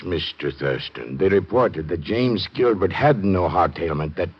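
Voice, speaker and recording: An actor playing a courteous, formal intelligence major in an old radio drama, speaking in a measured way. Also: male voice, low voice, lively intonation, husky, full character voice. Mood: formal, skeptical. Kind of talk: 1950s radio drama acting. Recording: muffled 1950s radio recording.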